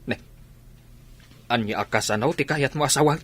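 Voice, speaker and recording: A man playing a teasing older father figure with a husky voice in a radio drama. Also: medium pitch, normal speed, fairly bright tone. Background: music.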